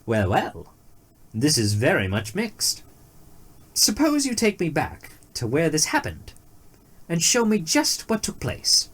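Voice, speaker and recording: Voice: mid-range voice, wildly swooping pitch, slow pace, a bit bright, husky, voice pushed up, heavily acted. A storyteller voicing a clever male rabbit in a fairy tale, polite and musing as if puzzled. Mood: feigned puzzlement.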